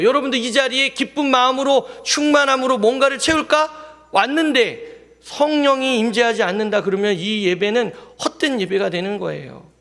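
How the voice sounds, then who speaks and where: A man preaching a Sunday sermon as pastor, in a lively manner, often asking the congregation questions. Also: very high voice. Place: church sanctuary. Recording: long hall reverb in a church.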